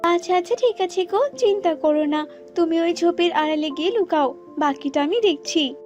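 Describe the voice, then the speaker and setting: A woman's voice: very high-pitched, moderate pace, a little mellow, highs cut off, faint music behind, voice pushed up. A woman voicing a poor, kind female bird in a cartoon, earnest and emphatic. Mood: reassuring, urgent. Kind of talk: cartoon fairy-tale acting.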